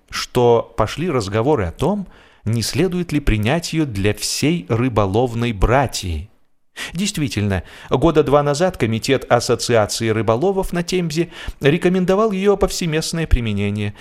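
A man reads humorous literary narration for radio in a wry, theatrical way, leaning deliberately on key words. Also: mid-range voice. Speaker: audiobook narrator, actor.

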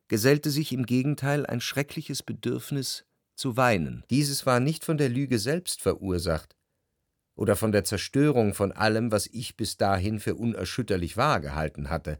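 An actor playing a melancholy, jealous lover who narrates his memories in a reflective, measured literary radio play style. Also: on the low side, no room sound, clean studio sound, natural voice.

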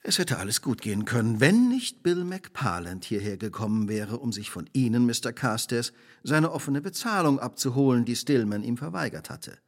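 An actor playing a shrewd, relentless detective in a radio drama, speaking precisely, formally and theatrically. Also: male voice, medium pitch, sing-song, normal speed. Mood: accusing, assured. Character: shrewd, relentless male detective laying out a crime.

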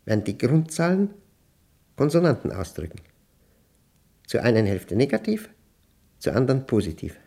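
A man acting an obsessive, unravelling professor who dictates his diary in a German radio play, with emphatic, deliberate diction and short clipped phrases. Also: mid-range voice, wildly swooping pitch, dark tone, husky, exaggerated voice. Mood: commanding, obsessive. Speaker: radio drama actor.

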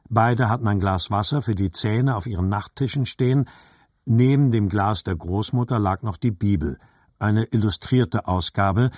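An actor narrating as a grown man remembering his childhood, measured and reflective, in scripted literary style. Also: fairly low pitch, darkish tone, no room sound, studio quality.

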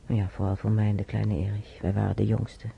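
A man acting a wistful character who reminisces about his childhood in a radio drama, intimate and halting, with a gravelly voice. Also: fairly low pitch, monotone, heavily acted. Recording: dull, noisy old radio recording.

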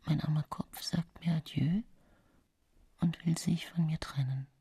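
A woman's voice: sits low, steady tone, warm tone, slightly rough, heavily acted. A calculating, confiding woman poisoner. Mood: haunted, weary. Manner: confiding, deliberate, shifting between whisper and voice. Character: accused woman poisoner, widowed, calculating, self-justifying, haunted. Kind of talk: radio drama monologue.

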